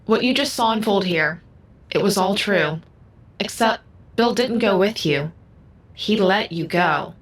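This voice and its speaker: A woman playing a gentle, solemn, all-seeing computerized being in an audio drama, speaking in a measured, cryptic way. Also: mid-range voice, animated, slightly rough. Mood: solemn, sad. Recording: music under the voice.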